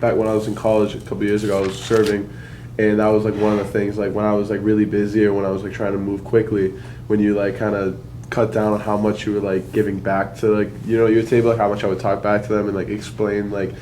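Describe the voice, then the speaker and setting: A man's voice: lowish voice, monotone, fast talker, dark tone, slight background noise, low-quality recording. A man in his early twenties at a sales workshop, asking a long, hesitant question full of fillers. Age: 20s. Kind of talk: rambling audience question.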